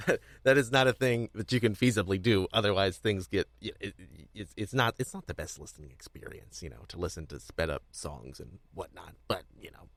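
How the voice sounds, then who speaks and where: A man with a fairly low voice hosts a casual podcast chat. He circles back over words and restarts his phrases, and the sound is close and dry. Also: no room sound. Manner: halting, repeats words and restarts often. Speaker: podcast host. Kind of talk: casual panel discussion.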